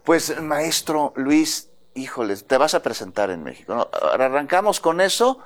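A man's voice: a little high, very animated, normal speed. A man with a Mexican accent hosting a conversational broadcast interview, addressing guests respectfully and chiming in with interjections. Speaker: interviewer, host.

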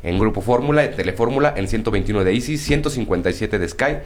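A man's voice: on the low side, lively intonation, fast talker, slight background noise. A man co-hosting a radio talk show, talking casually and often repeating words as he thinks.

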